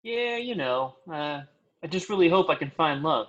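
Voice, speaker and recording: A man's voice: fairly high pitch, expressive, moderate pace, a bit bright, muffled recording. An actor playing a hapless, hesitant man in a comedy script table read. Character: hapless men in a comedy: sulky underling, lovelorn client.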